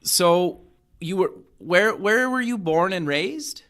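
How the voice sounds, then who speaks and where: A man's voice: high voice, lively intonation, slow pace, fairly bright tone. A man hosts an interview podcast and welcomes his guest in a plain, everyday voice. He asks hesitant questions padded with "um" and "kind of". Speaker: podcast host. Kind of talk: interview host.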